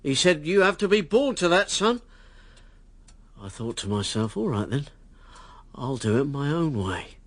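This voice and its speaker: A man's voice: fairly high pitch, big pitch swings, unhurried, long pauses, no room sound. An actor playing a blunt, resentful working-class male politician in a radio drama. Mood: bitter, rankled. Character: John Gabriel, brash working-class politician, bitter about class.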